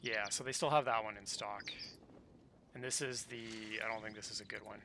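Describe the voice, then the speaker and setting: A man's voice: fairly low pitch, steady tone, normal speed, bright, forceful tone, a little hiss or hum. A man streaming a game and chatting casually, in a rambling, conversational way. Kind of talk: casual chat during livestream. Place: indoors, game audio and music behind.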